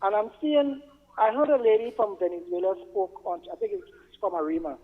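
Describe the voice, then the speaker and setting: A Trinidadian man speaks as a phone-in caller with an earnest, halting delivery. He doubles back on words as he makes his point. His voice comes over a narrow telephone line with long reverb. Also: high-pitched, animated. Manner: halting, stammering repetition of words. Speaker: radio show caller.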